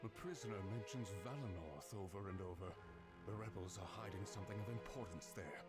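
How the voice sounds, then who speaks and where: A grave male inquisitor, acted by a man for a game delivers a formal report in a low voice. Loud music swells behind the speech. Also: brisk, close to an everyday voice. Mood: grave, certain. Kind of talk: visual novel voice acting.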